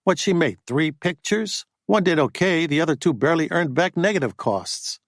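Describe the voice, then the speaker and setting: A man voicing a pushy, profane, fast-talking male Hollywood agent in an audiobook. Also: on the high side, expressive, no echo, clean studio sound, voice pushed up. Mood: brash. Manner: pushy, profane, rapid-fire.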